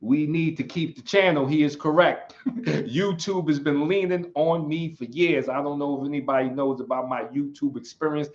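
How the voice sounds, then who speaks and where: A man hosting his own livestream in a relaxed, rambling way. He chuckles often and reads chat comments aloud. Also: fairly high pitch, normal speed. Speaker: channel host. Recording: slightly dull lo-fi sound.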